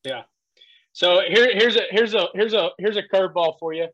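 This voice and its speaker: A man's voice: sits high, moderate pace, a bit bright, highs cut off. A man co-hosting a casual podcast, enthusiastic and stammering through repeated words. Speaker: podcast co-host.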